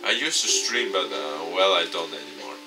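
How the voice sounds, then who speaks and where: A man's voice: deep voice, expressive, moderate pace, pitched below the performer's own voice. A man voicing a nervous, modest young female gamer who speaks hesitantly in a game. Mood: nervous, sheepish. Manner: hesitant, stammering. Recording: distant mic with music behind.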